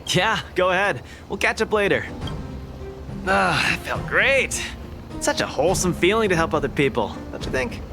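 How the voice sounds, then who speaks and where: A young male game hero, a cocky and upbeat fighter with a very lively, swinging delivery. He sounds boastful and eager, with music playing behind him. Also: high-pitched, moderate pace. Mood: casual, then proud, upbeat. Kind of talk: animated video game voice acting.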